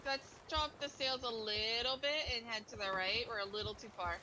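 A woman's voice: on the high side, fairly even intonation, moderate pace. A woman explaining and giving directions as a crewmate in casual online game chat. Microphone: online voice chat mic. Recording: noisy game voice chat with clicks.

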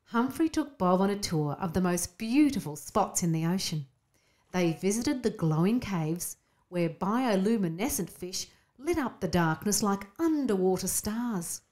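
Slow, lively woman telling children's stories. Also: mid-range voice. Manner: expressive, storybook delivery. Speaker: storyteller. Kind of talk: scripted children's story narration.

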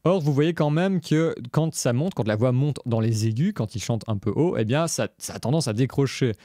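A man's voice: mid-range voice, animated, normal speed, warm tone, no echo, studio quality. A man presenting a music production tutorial in a casual, step-by-step explanatory way. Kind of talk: casual screencast tutorial narration.

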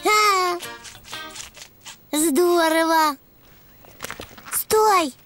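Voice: very slow, exaggerated voice. An actor voicing a cheerful, eager little boy donkey with a childlike voice in a preschool cartoon. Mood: curious, excited. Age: young child character. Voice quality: high, childlike. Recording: music behind the voice.

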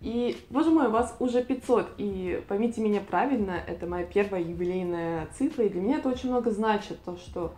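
A woman's voice: medium pitch, a little fast, hardly any pauses, mellow, reverberant. A woman in her twenties from Latvia, chatting casually and self-ironically on a talking-head vlog with a lapel mic. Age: 20s. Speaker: vlogger. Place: living room with bookshelf, indoors.

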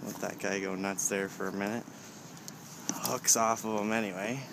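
Low-voiced man, noisy outdoor recording. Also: fairly even intonation, moderate pace, vocal fry. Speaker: fishing show host. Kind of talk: casual fishing vlog narration. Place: outdoors on a kayak on open water. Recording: noisy outdoor camcorder audio with ambient noise.

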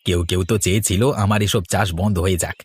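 A man's voice: low-pitched, little pitch movement, quick pace, husky. A man voicing a proud, earnest village farmer and husband in a cartoon, firm and persuasive.

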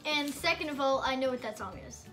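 A woman's voice: high voice, expressive, fairly quick, fairly bright tone, soft background music. A teenage girl chatting casually and teasing as a co-host in a homemade video. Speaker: daughter and co-host. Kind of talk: casual chat, teasing commentary. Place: small room at home. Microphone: camera or phone a short way off.

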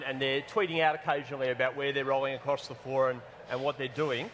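A man's voice: on the high side, fairly bright tone, slight background noise. A man moderating a conference panel on stage, posing long scenario questions to the panelists.

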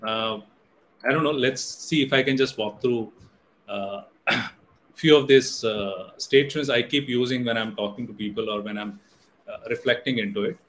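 A man's voice: mid-range voice, normal speed, fairly bright tone, a little hiss or hum. A middle-aged Indian man, a native Hindi speaker, gives an online guest lecture in English with many fillers and restarts. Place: indoor room on a video call. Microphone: webcam or laptop mic on video call.

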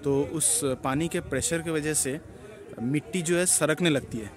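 A man narrates a casual travel vlog, explaining things with halting pauses. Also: on the high side. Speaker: travel vlogger. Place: outdoors at a tourist site and inside a car.

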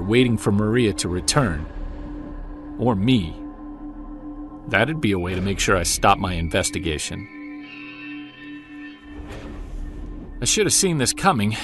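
Male actor for audio drama: guilt-ridden investigator husband, low earnest voice, music behind. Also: expressive, moderate pace, natural voice. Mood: brooding, angry. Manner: confessional, earnest. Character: troubled, guilt-ridden husband and Bigfoot investigator recording confessions. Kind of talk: audio drama monologue and dialogue.

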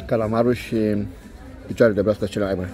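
A man doing a casual food review vlog in short, unhurried, appreciative phrases, recorded outdoors on a handheld phone. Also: fairly low pitch, steady tone, mellow. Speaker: food vlogger. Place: outdoor restaurant terrace by a lake.

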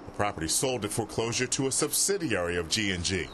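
A man narrating a TV news report in a steady, measured way. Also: on the low side, background noise.

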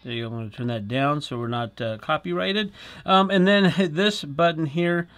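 A man's voice: fairly high pitch, normal speed, fairly bright tone, soft background music. A middle-aged man, chatty and explanatory, hosting a casual product demonstration in a small indoor studio. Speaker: product review host.

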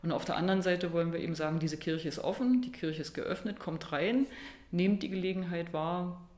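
A woman's voice: lowish voice, fairly quick, a little mellow, reverberant. A middle-aged woman, a pastor, giving an informal welcome address.

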